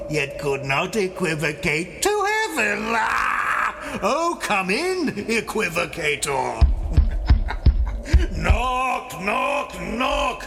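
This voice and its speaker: A drunken, bawdy porter from a Shakespeare play, grumbling and exclaiming theatrically. He drags out his words at an unhurried pace and his voice swoops widely up and down. There is clear ambient noise behind him. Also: sits high, exaggerated voice. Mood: gleeful, exasperated. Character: drunken, grumbling, bawdy castle porter imagining himself hell's doorman. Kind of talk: theatrical Shakespearean monologue, audiobook performance.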